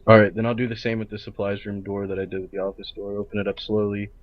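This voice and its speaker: Flat-toned man gaming over dull voice chat. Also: on the low side, quick pace. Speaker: player in a role-play game. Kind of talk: casual in-game planning over voice chat. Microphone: online voice chat.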